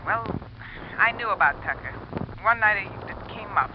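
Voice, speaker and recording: An actress playing an evasive, shady estranged wife in a 1950s radio drama, hesitant and defensive. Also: female voice, mid-range voice, lively intonation, measured pace, lightly acted. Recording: noisy, muffled vintage radio recording.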